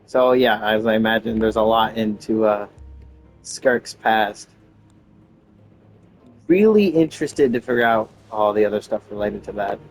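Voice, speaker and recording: A man's voice: fairly low pitch, rough recording. A man giving casual live gameplay commentary, musing aloud in loose, tentative phrases. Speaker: gamer playing and commenting.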